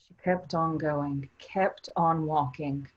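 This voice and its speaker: A woman playing a determined, grieving traveller in the land of the dead, in an earnest, deliberate staged reading. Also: sits low, fairly even intonation, lo-fi sound. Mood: solemn. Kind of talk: staged reading with dramatic narration.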